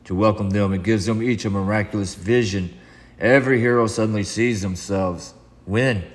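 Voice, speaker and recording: A man narrating a comic book read-along in a dramatic, solemn, theatrical way with deliberate pauses. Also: on the low side, steady tone. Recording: long hall reverb.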